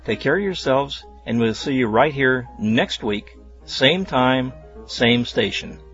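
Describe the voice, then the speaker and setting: Medium-pitched man, informal radio host. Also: expressive, slow pace, fairly bright tone, lo-fi sound, faint music behind. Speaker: host of old-time radio show.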